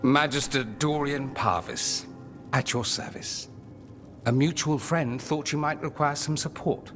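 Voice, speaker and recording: A man's voice: on the high side, measured pace, close to an everyday voice. A man playing a witty, haughty nobleman mage in a fantasy game, with an arch, polished, theatrical delivery. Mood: playful. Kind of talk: theatrical cinematic game dialogue. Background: music.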